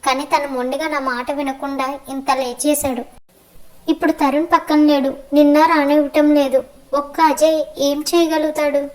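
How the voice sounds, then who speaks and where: An actress as a worried mother who chides and consoles. Her voice is high, with a fairly flat, even melody. She sounds fretful and reproving, in web drama style. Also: brisk, close to an everyday voice, low-quality recording. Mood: worried, chiding. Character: worried, chiding mother comforting her grown daughter. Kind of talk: web series drama acting.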